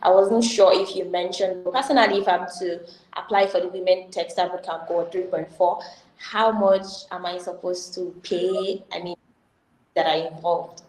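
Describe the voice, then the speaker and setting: A woman's voice: on the low side, steady tone, moderate pace, darkish tone. A Nigerian woman hosting a live online info session, welcoming viewers and reading out their comments. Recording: roomy echo.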